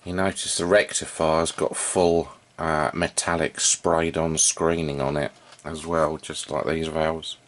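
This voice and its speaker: A man giving an unscripted, informal hands-on demonstration, thinking aloud in a halting way as he works as a hobbyist repairer. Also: a bass voice, level tone, fairly bright tone. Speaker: vintage radio repair hobbyist and video presenter.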